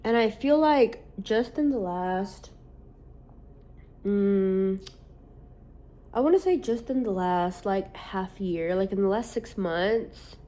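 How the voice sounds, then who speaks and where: A woman in her late twenties chats straight to her audience in a loose, rambling way. She fills her talk with "like" and drops casual swear words. Her pitch sits in the middle of the usual range for a woman. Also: slow, pauses often, warm tone. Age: late 20s. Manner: rambling, candid, sprinkled with swearing and "like". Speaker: social media creator. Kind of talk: casual vlog chat.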